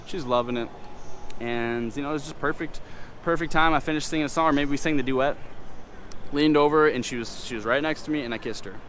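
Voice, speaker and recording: A man's voice: medium pitch, fairly quick. A man recounts a date in a loose, chatty way, cursing as he goes, with a mid-range voice. He is outdoors, and faint city traffic hums behind him. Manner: animated, swears casually, acts out voices in quotes. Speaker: guest telling a date story. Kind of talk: casual storytelling.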